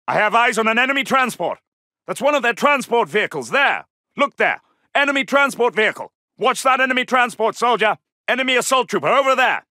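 A man's voice: extremely high pitch, bright, forceful tone, no room sound, clean studio sound, heavily acted. A man voicing an alert soldier in a video game, giving clipped, urgent military callouts. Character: alert, gruff British male Second World War soldier. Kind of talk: shouted combat callouts.

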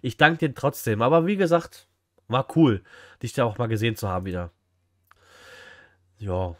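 A man's voice: medium pitch, big pitch swings, normal speed, darkish tone, no room sound, studio quality. A young man chatting casually and informally as a live streamer reacting from a room at home, with lots of slang. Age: young adult. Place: small indoor room at home.

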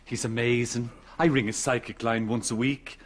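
A man's voice: medium pitch, fairly even intonation, moderate pace, a little hiss or hum, close to an everyday voice. A man playing a gullible, gushing grown-up son in a sitcom, dramatic in manner, with an Irish accent. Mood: delighted. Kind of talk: sitcom comic acting.

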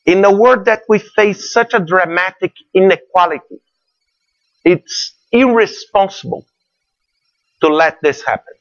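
A middle-aged man from Brazil with a Brazilian Portuguese accent, giving an earnest conference talk in a large hall, halting with fillers and repeats. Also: high-pitched, highs cut off. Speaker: conference speaker. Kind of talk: keynote talk.

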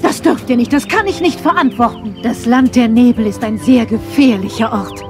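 A woman's voice: fairly high pitch, lively intonation, moderate pace, over music, natural voice. An actress playing a worried, protective dinosaur grandmother in a children's audio drama, earnest and firm.

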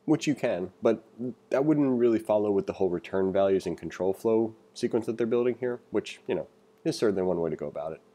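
A man with a deep voice and a soft, dark tone leads a read-along programming tutorial. He moves between reading aloud and conversational asides, freely giving his own opinions as he explains. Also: lively intonation, fast. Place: voice-over for a screen recording.

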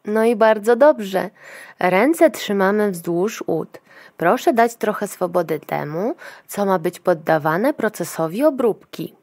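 An actress playing a brusque, officious clinic attendant in a dystopian future audio drama, clinical and commanding. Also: female voice, medium pitch, lively intonation, takes it slowly, a little mellow, dry recording. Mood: clinical, brisk.

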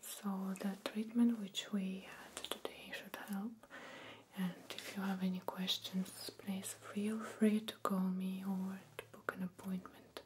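A young woman speaking in a soft, breathy whisper, gently reassuring in an ASMR doctor roleplay, close to the microphone. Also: medium pitch, level tone, unhurried, noisy background. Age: young adult. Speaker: ASMR performer playing a doctor. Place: small furnished room indoors.

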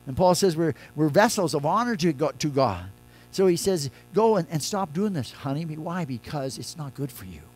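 Older pastor preaching from the pulpit. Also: male voice, on the high side, animated, normal speed, soft background music. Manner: conversational preaching, swings between loud emphasis and soft quiet lines. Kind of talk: sermon. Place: church sanctuary at a pulpit.

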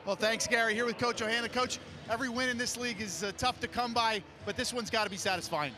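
A man interviewing a coach and player on the arena floor after a game, holding a handheld mic, asking quick friendly questions. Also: extremely high pitch, fairly even intonation, a crowd in the background. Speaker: sideline interviewer. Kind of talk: postgame interview.

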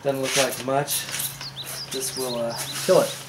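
A man's voice: medium pitch, flat delivery, unhurried. A man in his forties hosting a casual do-it-yourself video, chatting in a folksy way while he works outdoors in a yard. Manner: chatty, folksy, talks while working with hands. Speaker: DIY video host. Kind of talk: casual how-to demonstration. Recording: outdoor hiss and birds. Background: birds and outdoor ambience.

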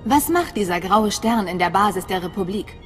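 Eine Schauspielerin als kühle, selbstbewusste Geheimagentin in einem Videospiel, knapp und selbstsicher im Ton. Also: female voice, medium pitch, lively intonation, normal speed, close to an everyday voice. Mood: determined. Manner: self-assured, clipped. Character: cool, confident female undercover imperial agent. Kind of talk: dramatic video game dialogue in German. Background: background music.

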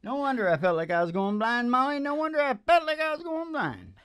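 A man's voice: very high-pitched, very animated, a little fast, fairly bright tone, clean tone, no room sound, studio quality. A man in his sixties chatting casually and jokingly in a folksy way between songs, as a performer hosting a show. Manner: folksy, playful, jokey. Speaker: singer-guitarist and radio show host. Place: small indoor stage with curtain. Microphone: stand microphone, close.

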